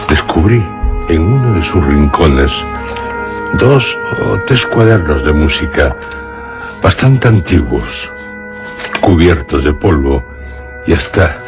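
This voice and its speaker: Very deep, solemn male storyteller. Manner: solemn, deliberate, with dramatic pauses. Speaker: radio storyteller. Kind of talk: dramatic scripted literary narration.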